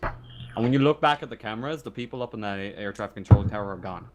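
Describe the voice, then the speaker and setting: A man's voice: on the low side, quick pace. A man acting as game master, narrating a tabletop game casually with a hesitant, stumbling delivery. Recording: online voice chat with background noise.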